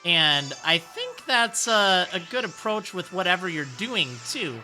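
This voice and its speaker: Man, high youthful voice, casual stream chat, bouncy intonation, rambling pep talk. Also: sing-song, a little slow, sharp tone, soft background music, poor audio. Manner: reads viewer messages aloud and answers with rambling encouragement. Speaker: game streamer.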